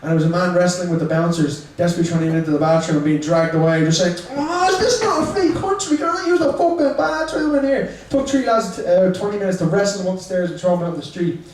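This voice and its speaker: A man's voice: sits high, lively intonation, fast talker, hardly any pauses, darkish tone, a little hiss or hum. Dublin-accented young man, echoey bar room. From Ireland. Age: young adult. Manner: chatty, self-mocking, teasing the crowd. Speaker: stand-up comedian. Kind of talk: stand-up comedy set. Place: small bar comedy room. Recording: echoey room, mic far off.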